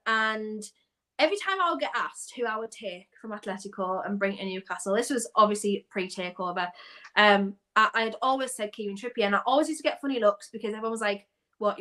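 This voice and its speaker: A young woman from North East England chatting casually about football as a guest, animated and quick to laugh. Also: fairly high pitch. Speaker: guest fan.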